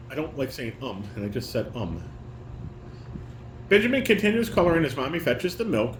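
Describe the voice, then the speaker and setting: Man, slightly high voice, instructive dad directing a table read, informal coaching, roomy echo, faint noise. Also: fairly bright tone. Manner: instructive, corrective. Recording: echoey room with clear background noise.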